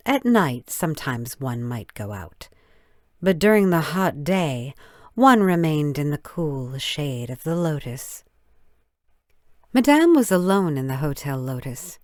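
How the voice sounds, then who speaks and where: A woman reading a story as scripted narration, in measured, deliberate, careful phrases. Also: deep voice, darkish tone, no room sound, clean studio sound. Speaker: audiobook narrator.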